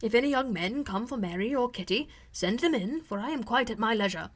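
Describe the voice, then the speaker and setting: A woman's voice: fairly high pitch, lively intonation. Woman reading as a wry, fond older father. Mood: amused, wry. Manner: measured, dry, formal. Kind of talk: audiobook character voice.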